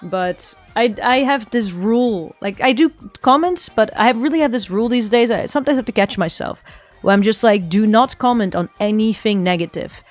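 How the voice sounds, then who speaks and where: A woman's voice: a little high, moderate pace, dry recording, soft background music. Rambling woman streamer. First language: Flemish Dutch. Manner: rambling, thinking aloud with frequent self-corrections. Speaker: virtual streamer. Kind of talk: casual chat while drawing, answering chat.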